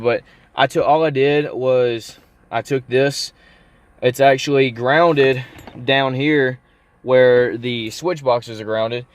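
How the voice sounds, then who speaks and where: A young man chats informally to his viewers as a car vlogger. His voice sits at an average pitch, and the sound is dry, as if inside a parked car. He rambles along in a folksy, unscripted way as he explains things. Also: smooth, no echo. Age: young adult. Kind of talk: casual unscripted vlog.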